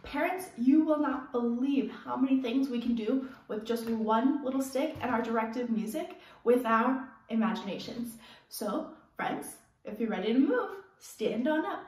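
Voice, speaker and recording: A young woman with a high voice leads young children through a gym class. She speaks in an encouraging, animated way, straight to the children. She is recorded a few steps from the mic in an echoey room. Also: normal speed, distant mic. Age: young adult. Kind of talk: leading a virtual movement class for young children. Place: indoors, bare carpeted room at home. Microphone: camera a few steps away.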